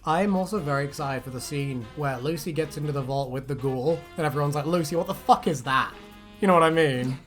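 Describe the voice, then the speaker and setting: A man's voice: fairly high pitch, fairly quick, faint music behind. A man hosting a casual chat in a rambling, joking way with crude humour. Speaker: host.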